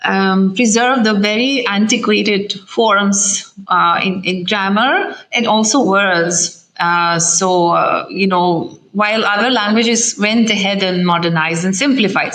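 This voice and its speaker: A middle-aged woman with a Lithuanian accent, English being her second language. Her voice sits at an ordinary mid pitch. She explains things carefully and at length, with many "uh"s, small restarts and a thoughtful, measured delivery. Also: a bit bright, low-quality recording. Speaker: ambassador. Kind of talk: thoughtful interview answer.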